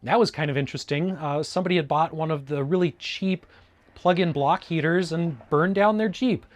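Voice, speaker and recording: A man's voice: fairly high pitch, normal speed. A middle-aged man from Alaska with an American accent, talking casually and informatively as a hobbyist video host in a conversational, explanatory way. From Alaska, United States. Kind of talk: casual informative vlog talk.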